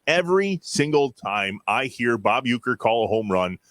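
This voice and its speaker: A man's voice: medium pitch, very animated, normal speed, fairly bright tone, no echo. An American man co-hosting a casual sports talk show, telling stories with enthusiasm and emphasis.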